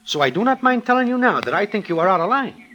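Animated New York street-smart storyteller. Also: male voice, high-pitched, fast, exaggerated voice. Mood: puzzled, reproachful. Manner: formal slangy present-tense speech without contractions. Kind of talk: 1950s radio acting, scripted narration. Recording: old radio recording with music behind.

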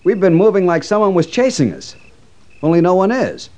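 An actor playing an earnest, respectful but persistent cavalry subordinate officer in a 1950s radio western, speaking in a measured way. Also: male voice, sits high, big pitch swings, warm tone. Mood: earnest, concerned. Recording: dull, muffled old radio recording.

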